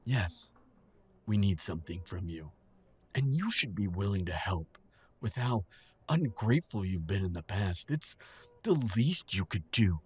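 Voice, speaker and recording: A man's voice: sits low, animated, measured pace, darkish tone, husky, heavily acted. A man playing a stern middle-aged father in an audio drama, accusing and self-righteous toward his grown son. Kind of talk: audio roleplay drama acting.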